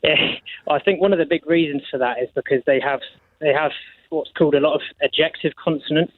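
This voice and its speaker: A man's voice: on the high side, fairly even intonation, a little fast, no echo. A British man calling a radio phone-in, explaining informally and earnestly, hesitating as he searches for words. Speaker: phone-in caller. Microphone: telephone. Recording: muffled dry phone line.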